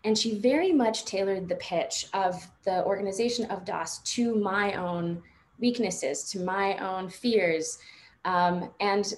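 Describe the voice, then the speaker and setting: A woman in her thirties telling her personal story informally on a panel video call, halting and reflective, with frequent filler phrases. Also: medium pitch. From born and raised in Morocco until seven, then United States. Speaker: panelist sharing personal testimony. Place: indoors at home on a video call. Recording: noisy video-call audio with room ambience.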